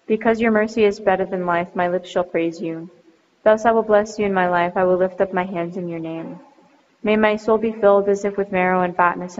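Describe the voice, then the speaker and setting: A woman reading psalms and liturgical responses in a measured, chant-like way. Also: on the low side. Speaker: reader of psalms. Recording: muffled recording with long reverb.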